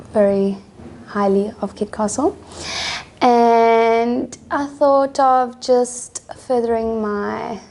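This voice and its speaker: A woman from South Africa, an English teacher, giving soft-spoken, reflective interview answers. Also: a little high, vocal fry.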